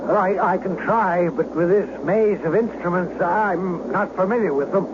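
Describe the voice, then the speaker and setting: An American actor playing an anxious amateur flyer in a radio drama, halting, tense and folksy in manner. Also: male voice, sits high, lightly acted. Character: anxious male amateur flyer stuck piloting an airliner. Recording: noisy, muffled old radio drama.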